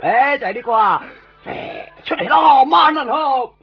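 A man acting an eager, chatty toy shop owner in a radio drama, rambling excitedly in Hong Kong Cantonese. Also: very high voice, moderate pace, lo-fi sound. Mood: playful, excited. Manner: excitable, rambling, fond of showing off toys. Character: eager, chatty male second-hand toy shop owner, kind to customers. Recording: band-limited 1990s radio recording with faint music.

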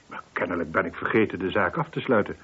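A man's voice: deep voice, fast, noisy background, natural voice. An actor playing a weary, defensive man nearing sixty in a Dutch radio play, explaining himself hesitantly. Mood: uneasy, explaining. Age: late 50s. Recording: muffled, band-limited old radio recording.

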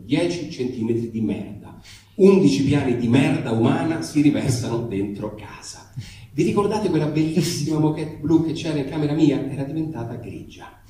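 A man reads a comic letter aloud in a wry storytelling way. His voice is mid-pitched and soft-edged in tone. It comes from a distance in a heavily reverberant room. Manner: wry storytelling, acting out dialogue. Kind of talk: comic literary reading before a microphone. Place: echoey room, picked up from afar. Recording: very echoey, distant mic, faint noise.

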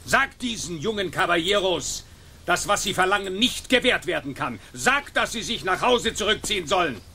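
Haughty male governor for radio drama: theatrical, offended, slightly high, forceful. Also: animated, moderate pace, gravelly, a little hiss or hum, heavily acted. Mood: indignant. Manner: pompous, theatrical. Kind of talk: German radio drama acting.

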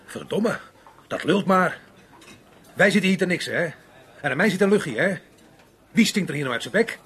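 A man acting an earthy, talkative, hot-tempered working man in a radio play, crude and emphatic, with a husky voice. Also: high voice, lively intonation, normal speed, a bit bright, full character voice. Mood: indignant.

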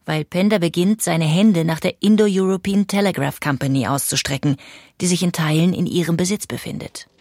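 A woman narrating a radio documentary in a calm, even way. Her voice sits a little low for a woman. The recording is close and dry. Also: moderate pace, dry recording.

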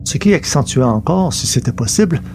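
A man's voice: mid-range voice, very animated, brisk, slight background noise. A man reading scripted narration for an audio story, as its narrator. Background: loud music.

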